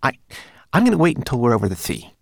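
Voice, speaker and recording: A man's voice: medium pitch, huge pitch swings, quick pace, creaky, no echo, pitched above the performer's own voice, full character voice. A man doing a jittery little homunculus. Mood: nervous. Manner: nervous, stammering. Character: small anxious male homunculus servant.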